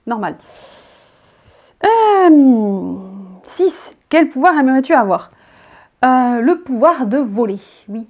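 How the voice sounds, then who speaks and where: A woman's voice: high-pitched, big pitch swings, takes it slowly, pauses often, lo-fi sound. Chatty southern French woman in her thirties. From southern France. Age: 30s. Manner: chatty, rambling, reads each question out loud. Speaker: vlogger. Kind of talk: casual chat answering tag questions. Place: bedroom, indoors.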